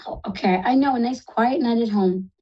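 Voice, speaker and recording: A woman with a New York accent hosting a live psychic call-in show, talking fast and reassuring callers affectionately. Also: on the high side, dark tone, breathy edge, highs cut off. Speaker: psychic radio host.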